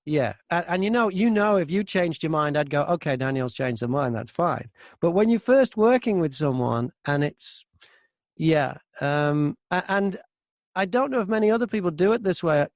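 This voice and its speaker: A man with a fairly high voice talks casually as an interview guest, recorded close and dry. He tells work stories like a practised narrator, slipping into bits of remembered dialogue. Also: lively intonation, normal speed, no room sound. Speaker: audiobook narrator and voice-over artist being interviewed. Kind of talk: casual interview chat, telling work anecdotes.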